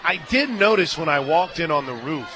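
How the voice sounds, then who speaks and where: A man doing live radio play-by-play commentary of a softball game as the announcer. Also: fairly high pitch, expressive, moderate pace. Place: outdoor softball stadium radio booth. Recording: stadium crowd noise and music behind. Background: crowd and music.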